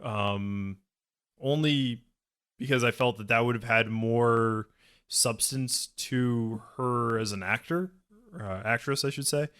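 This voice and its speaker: A man co-hosting a podcast, chatting casually with a hesitant, halting delivery. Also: mid-range voice, a bit bright, clear, resonant tone. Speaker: podcast co-host. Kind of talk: casual chat.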